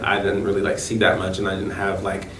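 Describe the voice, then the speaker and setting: Young guy from north carolina, low voice, reflective, roomy sound w faint hiss. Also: completely flat delivery, fairly quick, a bit bright. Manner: reflective, many "like" fillers. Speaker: interviewee. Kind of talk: documentary interview. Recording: roomy, distant mic, faint noise.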